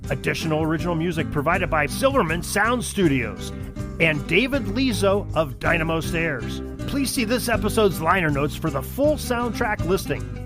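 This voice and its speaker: Male credits announcer, crisp, high-pitched, music bed underneath. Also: very animated, normal speed.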